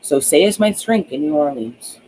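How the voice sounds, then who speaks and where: A man acts out a world-weary ex-cop from a novel, protective and a little sardonic. He talks in an earnest, plain-spoken way, in a slightly high male voice with faint noise behind it. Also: lively intonation, moderate pace, a little mellow, close to an everyday voice, rough recording. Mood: earnest, reassuring.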